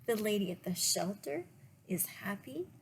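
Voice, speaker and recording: A woman reading picture books aloud to children as a librarian, in a deliberate way with pauses and little asides to the listeners. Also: mid-range voice, sing-song, slight background noise, rough recording. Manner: slow, deliberate phrasing with pauses. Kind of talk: read-aloud storytime with asides to young listeners.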